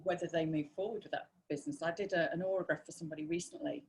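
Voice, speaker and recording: A woman's voice: low voice, fairly even intonation, rapid-fire, darkish tone, a little hiss or hum. A woman taking part in a live Q&A call, asking her question hesitantly and searching for words.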